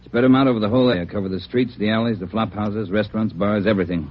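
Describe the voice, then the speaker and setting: An actor playing a brisk police chief who gives orders to his detectives in a 1950s radio drama. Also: fairly low pitch, natural voice. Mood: commanding. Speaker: police captain in charge. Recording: muffled vintage radio broadcast.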